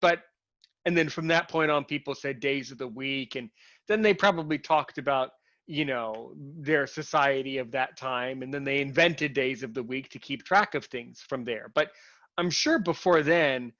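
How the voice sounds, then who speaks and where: A man's voice: mid-range voice, normal speed, bright, forceful tone, no room sound. Man talking with many restarts, muffled sound. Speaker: host. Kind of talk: casual conversation.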